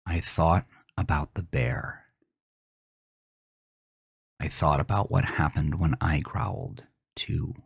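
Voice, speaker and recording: A man narrating a first-person horror story with intense, deliberate, theatrical delivery and a husky voice. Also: extremely low pitch, dry recording, studio quality.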